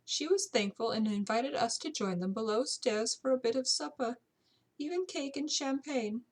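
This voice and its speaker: A woman performs a loyal, prim English housekeeper in a period storybook mystery. The voice sits high and speaks with proper, deferential manners in a put-on British accent. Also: normal speed, close to an everyday voice. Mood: tearful, earnest. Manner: proper, deferential, old-fashioned servant speech.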